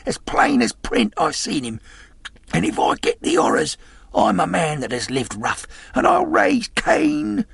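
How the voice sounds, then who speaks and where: A man voicing a weak, drunken old sea captain who wheedles and blusters in sailor slang, with a gravelly, husky voice. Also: high-pitched, huge pitch swings, measured pace, fairly bright tone, no room sound, voice pushed up, heavily acted. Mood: pleading. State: weak, feeble voice.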